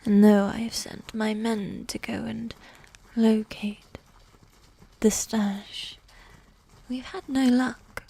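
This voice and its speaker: A woman playing a regal, seductive, coolly manipulative evil queen in a medieval ASMR roleplay, speaking in a soft, breathy whisper with formal, measured phrasing. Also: a little high, steady tone, full character voice. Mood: coolly coaxing. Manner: slow, measured, formal, with long pauses.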